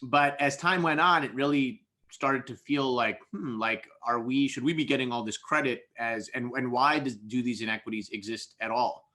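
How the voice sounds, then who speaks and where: A man in his thirties from India speaks on a video-call panel. His voice is bright and sharp. He talks reflectively and often stops to restart a phrase. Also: medium pitch, normal speed. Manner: reflective, many restarts and repeated words. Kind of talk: panel discussion.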